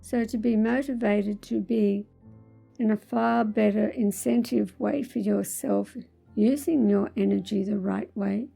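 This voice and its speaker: A woman leading a spiritual guided meditation, speaking in measured, deliberate phrases with pauses in a soothing manner. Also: mid-range voice, steady tone, creaky, dark tone, husky, faint music behind.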